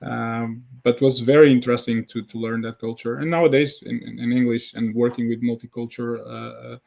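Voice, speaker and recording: A man in his thirties from Portugal with a Portuguese accent, a native Portuguese speaker talking English as a podcast guest, halting speech full of fillers and repeated words. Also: medium pitch, level tone, vocal fry, darkish tone. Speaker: guest, founder of a sales community. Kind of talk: conversational podcast interview.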